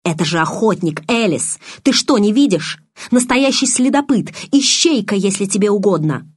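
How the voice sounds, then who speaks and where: Lady doing hotheaded bossy young guy in audiobook, husky, curt n irritated. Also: mid-range voice, moderate pace, dry recording, studio quality, lightly acted. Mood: agitated, angry. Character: intense, hot-tempered, commanding young man. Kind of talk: dramatised audiobook reading.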